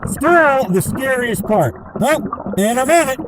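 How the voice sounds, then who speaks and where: Squeaky-voiced man on a noisy diving comms line. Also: lively intonation, slow pace. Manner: chatty, thinks aloud as he works. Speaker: diver. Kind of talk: casual narration while searching. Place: underwater in a river.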